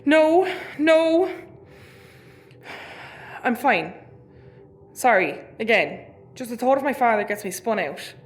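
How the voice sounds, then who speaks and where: An actress playing a tough, sardonic, neurotic ship's officer, in a sci-fi audio comedy. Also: female voice, a little high, very animated, slow, pauses often. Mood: anxious.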